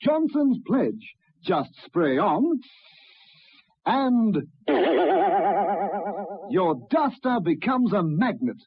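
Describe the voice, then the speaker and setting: Husky, expressive woman advertising announcer. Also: medium pitch, drawn-out words, pauses often, dry recording. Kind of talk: scripted vintage radio commercial narration. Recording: dull lo-fi old radio recording.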